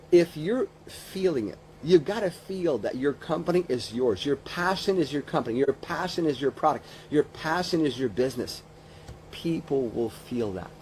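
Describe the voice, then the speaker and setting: A man's voice: on the high side, a little mellow, slight background noise, poor audio. A man in his fifties giving an informal motivational business coaching talk, rambling conversationally and repeating phrases for emphasis. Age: 50s.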